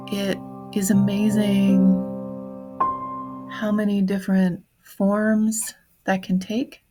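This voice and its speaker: Slow, husky woman guiding a meditation. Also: mid-range voice. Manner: gentle, unhurried phrasing with long pauses. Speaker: guided meditation host. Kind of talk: guided meditation on grief, slow and reflective.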